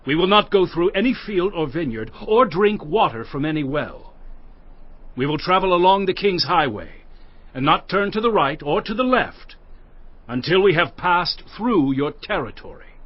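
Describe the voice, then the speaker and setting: Animated, high-voiced earnest envoy. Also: male voice, normal speed, no room sound, dull audio. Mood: earnest appeal. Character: earnest male Israelite envoy pleading for passage. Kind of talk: dramatized audio Bible acting.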